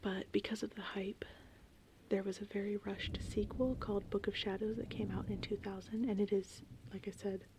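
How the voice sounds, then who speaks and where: A woman rambling casually and informally in an ASMR style, with a soft, breathy voice that often slips into a whisper. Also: mid-range voice, normal speed, background noise. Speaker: ASMR host. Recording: steady rain and thunder ambience. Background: gentle rain and thunderstorm sounds.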